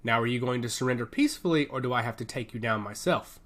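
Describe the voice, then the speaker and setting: A man's voice: medium pitch, quick pace, a bit bright, close to an everyday voice. A man voicing an arrogant, cocky armored soldier captain who scorns outlaws, in an audiobook story. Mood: defiant. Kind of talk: dramatized audiobook dialogue.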